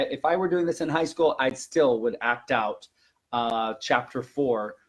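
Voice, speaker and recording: A man with an average-pitched voice presents a webinar for language teachers. His delivery is informal and instructional, explaining methods with clear emphasis.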